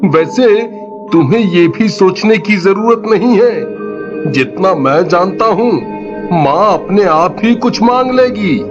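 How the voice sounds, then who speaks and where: A man's voice: high voice, animated, slow, muffled recording, over music. A man voicing a kind, earnest, easily frightened young village man in a children's story. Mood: concerned.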